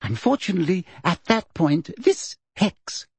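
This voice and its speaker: A man's voice: sits high, big pitch swings, a little slow, creaky, husky, dry recording, voice pushed up, full character voice. A man voicing a falsely sweet middle-aged female official in an audiobook, silky and condescending. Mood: simpering.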